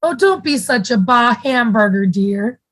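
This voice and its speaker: A woman's voice: fairly high pitch, animated, unhurried, a bit bright. An actress playing a saccharine, pushy sitcom mother, gushing and primly chiding in a comedic radio play.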